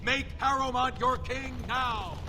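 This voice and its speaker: A man's voice: very high voice, slow. A man playing a dwarven town crier in a video game, proclaiming the political news in a declamatory way. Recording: long reverb, faint background noise.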